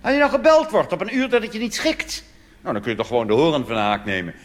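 A man's voice: on the high side, lively intonation, quick pace. A Dutch man performing a chatty, wry cabaret monologue full of asides, recorded live on stage. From Netherlands. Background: audience laughter and applause.

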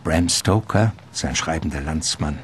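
A man narrating a literary radio drama in a measured, ornate storytelling manner. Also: very deep voice, slight background noise. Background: music.

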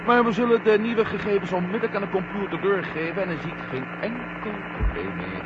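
An actor playing a brisk, confident, sardonic male astronaut commander in a science-fiction radio play. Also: sits high, expressive. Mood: wry, brisk. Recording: noisy muffled radio drama with hum.

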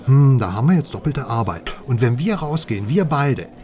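An actor as a brash, animated male private eye. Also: medium pitch, a little fast, lightly acted. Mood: mocking. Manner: teasing, sarcastic. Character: brash, mocking West German private detective friend. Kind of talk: German radio crime drama dialogue.

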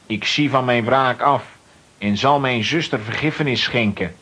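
A man's voice: medium pitch, moderate pace, bright, forceful tone, slightly rough. An actor playing a solemn vagrant nobleman in a children's radio play, grave and declamatory. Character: solemn, vengeful then repentant vagrant nobleman.

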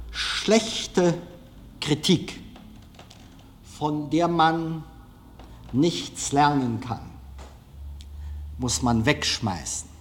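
A man speaking in a reflective personal monologue, deliberate and emphatic, with long pauses. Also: on the high side. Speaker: film director.

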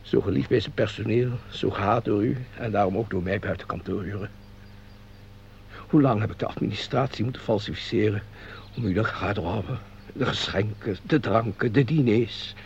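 An actor playing a formal, obsequious office clerk with a mannered, theatrical delivery in a Dutch radio play. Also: male voice, fairly low pitch, moderate pace, gravelly, darkish tone. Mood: hushed, confiding. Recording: dull, noisy old radio recording.

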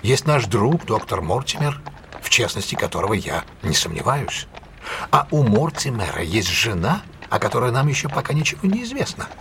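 An actor playing a shrewd, authoritative detective in an audio drama, speaking precisely and in a measured way as he instructs. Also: mid-range voice, big pitch swings, a bit bright, a little hiss or hum. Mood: analytical, firm. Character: shrewd, commanding male detective giving careful instructions.

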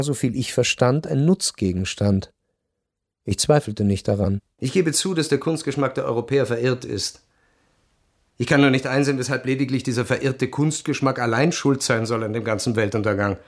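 A man narrating a first-person literary radio drama in a measured, reflective way. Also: medium pitch, a little mellow, no echo, clean studio sound.

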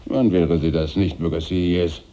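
Blunt general, gravelly low voice. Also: male voice, fairly even intonation, a little slow, warm tone. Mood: wary, sardonic. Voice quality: gravelly, husky. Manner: dry, guarded, sardonic. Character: blunt, sceptical male soldier, a revolutionary general. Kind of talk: German historical radio play dialogue.

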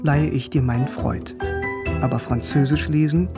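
An actor playing a bookish young East German philosophy student in a radio drama, narrating in a wry, literate way. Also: male voice, mid-range voice, fairly even intonation, normal speed, creaky, over music, natural voice. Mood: wry, reflective. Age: young adult. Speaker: narrator and lead.

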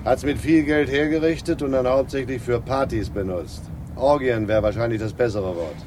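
An actor playing a laconic, matter-of-fact driver in a radio crime drama. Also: male voice, medium pitch, moderate pace, lightly acted. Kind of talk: 1960s German radio crime drama acting. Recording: outdoor car noise behind dialogue.

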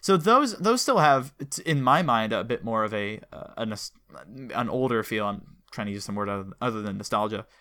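A man's voice: lowish voice, animated, brisk, few pauses, pushed and bright, clear, resonant tone. A man co-hosting a podcast, talking casually and analytically in a chatty, explanatory way. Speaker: podcast co-host.

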